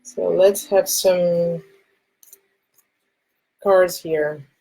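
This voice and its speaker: Soft-spoken Portuguese woman reading cards. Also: fairly low pitch, fairly even intonation, drawn-out words, vocal fry, rough recording. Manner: soft, reassuring, often asks "okay?" and laughs. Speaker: tarot reader and live stream host. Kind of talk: live stream card reading.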